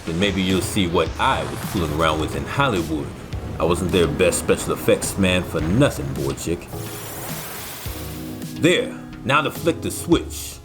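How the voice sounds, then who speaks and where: A grumbling, wisecracking inventor airman in an animated adventure. The voice is deep and very expressive, full of dry complaint. Loud music runs beneath it. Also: a little slow. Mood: reassuring. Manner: wry, complaining, folksy. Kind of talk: animated adventure comic-relief acting.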